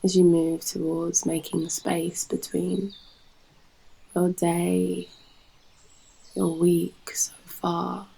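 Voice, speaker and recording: A British woman guiding a yoga nidra meditation in a soft, breathy, soothing manner with long pauses. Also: on the low side, completely flat delivery, rough recording. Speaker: yoga nidra guide and host. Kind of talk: guided meditation narration.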